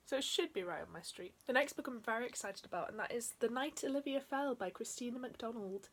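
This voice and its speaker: Slightly croaky young woman chatting about books. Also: on the high side, expressive, fairly quick. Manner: chatty, lots of ums, trailing off softly. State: slightly croaky from a chest infection. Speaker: book vlogger. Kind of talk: casual book haul chat. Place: small bedroom in front of bookshelves.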